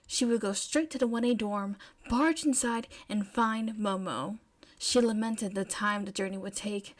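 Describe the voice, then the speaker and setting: A woman reading scripted fiction as a story narrator. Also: mid-range voice. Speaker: podfic narrator.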